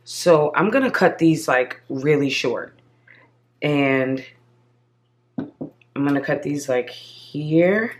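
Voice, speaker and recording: A woman with a slightly hoarse voice walks viewers through a craft project step by step. She speaks at a slow, unhurried pace in a chatty, casual tone. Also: deep voice, slight background noise. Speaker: craft channel host. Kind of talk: casual crafting tutorial voice-over.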